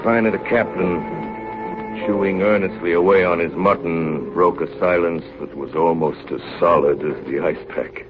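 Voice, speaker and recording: An actor playing a sober seafarer who narrates a polar voyage from his journal in measured 1930s radio style. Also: deep voice, fairly even intonation, over music, lightly acted. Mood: grave, matter-of-fact. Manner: measured, grave storytelling. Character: seasoned, sober ship's engineer recounting a polar voyage. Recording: muffled, hissy 1930s radio recording.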